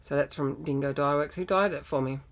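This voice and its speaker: A somewhat low-pitched Australian woman talks through her crafts in a loose, rambling way, full of ums and restarts. Her voice is a little croaky from a sinus infection. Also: fairly quick. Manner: chatty, rambling with many ums. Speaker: podcast host. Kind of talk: casual knitting podcast chat.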